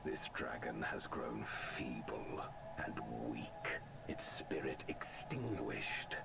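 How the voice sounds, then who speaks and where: A man playing a grave, commanding villainous sorcerer in a video game, speaking slowly in archaic, formal phrases with a gravelly, breathy voice. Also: extremely low pitch, animated, in a whisper, exaggerated voice. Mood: calculating, measured. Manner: slow, archaic, formal, imperious. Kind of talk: theatrical video game voice acting. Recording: loud music under the voice. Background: loud game music.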